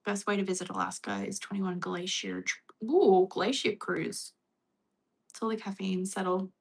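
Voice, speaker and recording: A woman's voice: medium pitch, steady tone, moderate pace, creaky, breathy edge. An Australian woman chatting casually on a livestream, reading and answering viewer comments. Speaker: livestream host.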